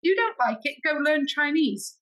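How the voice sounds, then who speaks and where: High-pitched, bright-voiced chatty English woman. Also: normal speed. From Britain. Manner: chatty, expressive, acts out quoted dialogue. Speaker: acupuncturist podcast guest. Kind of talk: animated storytelling in casual interview.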